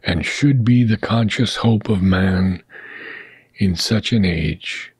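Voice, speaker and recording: A man reading a philosophical text aloud in a deliberate, measured way, pausing between short phrases and letting phrase ends fade into a breathy whisper. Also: fairly low pitch, darkish tone, no echo. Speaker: audiobook reader.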